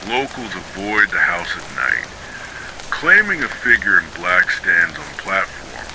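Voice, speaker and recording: A man reading a scripted ghost story for sleep in a measured, dramatic storytelling manner. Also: low voice, gravelly. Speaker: horror story narrator. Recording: steady rain noise behind voice. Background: rain falling.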